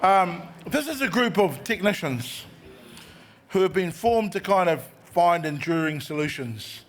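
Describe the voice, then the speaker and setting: A man introducing a group on stage. He has a loud voice and a jovial, chatty manner. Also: high voice, lively intonation, unhurried. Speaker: master of ceremonies. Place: conference hall.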